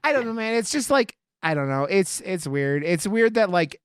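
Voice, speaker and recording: A man chatting casually as a podcast co-host, rambling and excitable, with free swearing. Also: sits high, moderate pace, smooth, dry recording, clean studio sound. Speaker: podcast co-host reviewing horror movies. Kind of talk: casual chat.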